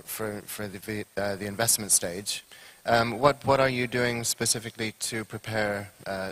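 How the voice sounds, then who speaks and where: Brief: male moderator, slightly low, halting with fillers. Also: fairly bright tone, rough recording. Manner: halting questions full of fillers and restarts. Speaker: panel moderator. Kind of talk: moderating a conference panel.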